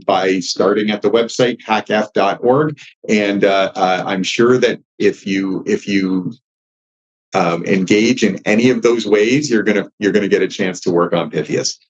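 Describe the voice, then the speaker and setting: A man giving an informal presentation as a software developer, wordy and encouraging as he moderates for the audience. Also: fairly low pitch, muffled recording.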